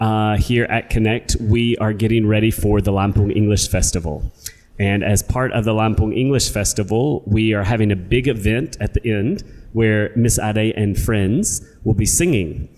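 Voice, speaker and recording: A man from the United States with an American accent, co-hosting a casual podcast and explaining words and events in a playful, clear way. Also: on the low side, moderate pace, darkish tone. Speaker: co-host, English teacher. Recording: reverberant room.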